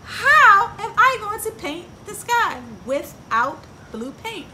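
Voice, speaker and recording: Woman voicing an eager, high, loud young schoolgirl. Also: very animated, slow, exaggerated voice, poor audio. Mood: puzzled. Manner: shouting out eagerly. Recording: clear outdoor ambient noise.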